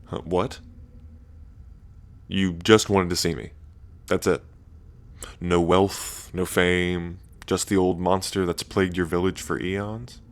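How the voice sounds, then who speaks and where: A man's voice: deep voice, flat delivery, slow pace, pauses often. A man playing an ancient dragon king in human form, smug and teasing, with a drawling, taunting delivery in a roleplay ASMR. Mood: curious, wry.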